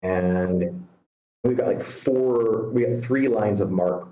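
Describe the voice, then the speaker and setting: A man giving casual, constructive feedback on a screenplay, halting as he thinks aloud. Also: fairly low pitch, warm tone, echoey room, slight background noise, rough recording. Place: online video meeting.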